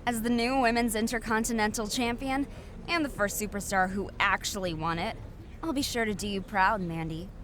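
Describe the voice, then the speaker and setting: A woman's voice: mid-range voice, fairly even intonation, moderate pace, noisy background, natural voice. An actress playing a confident, sassy young woman wrestler in a video game cutscene, cocky and blunt. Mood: defiant. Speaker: wrestler character.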